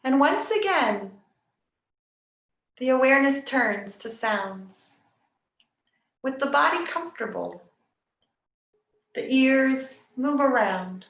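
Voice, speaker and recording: A woman yoga nidra teacher leading a guided relaxation meditation in a soft, soothing, unhurried way with long pauses. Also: high voice, animated, gravelly. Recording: roomy, echoing sound.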